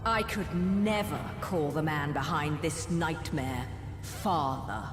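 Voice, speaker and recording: A woman's voice: deep voice, takes it slowly. An actress playing a defiant, stern warrior woman in a video game cutscene, haughty and cutting. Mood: cold. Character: defiant, stern female warrior, coldly scornful. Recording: echoey, under very loud music.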